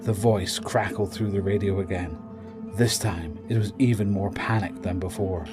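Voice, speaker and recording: A man's voice: sits low, fairly even intonation, normal speed. A man narrating a scripted audio drama in a dramatic storytelling style. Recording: dramatic music bed behind voice.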